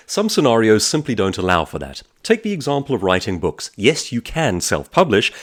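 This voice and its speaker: A man presenting a motivational business talk in an articulate manner, often posing questions to the listener. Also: on the low side. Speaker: host, author and speaker.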